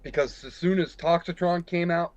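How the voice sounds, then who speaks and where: A man's voice: a little high, steady tone, moderate pace, dry recording, muffled recording. A man chatting eagerly about his toy collection as a podcast guest. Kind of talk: casual podcast chat.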